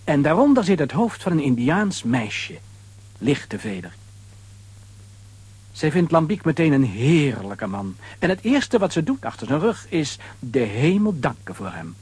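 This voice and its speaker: A man narrating a children's radio play as a dramatic, animated storyteller. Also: on the high side, measured pace, husky.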